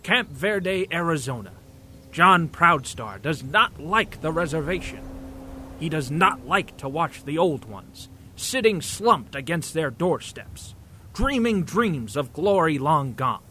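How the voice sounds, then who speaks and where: A man's voice: fairly high pitch, sing-song, slow pace, bright, forceful tone, slight background noise, full character voice. A man playing a grand, florid comic-book narrator, with theatrical and emphatic scripted delivery. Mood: brooding. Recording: music bed under the voice.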